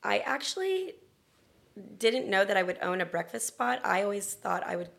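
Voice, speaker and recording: A middle-aged American woman telling her story casually as an interview guest, chatty and halting as she gathers her thoughts. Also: medium pitch, big pitch swings, vocal fry, a bit bright. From Florida and Texas, then Long Beach, California. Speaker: interview guest, restaurant owner.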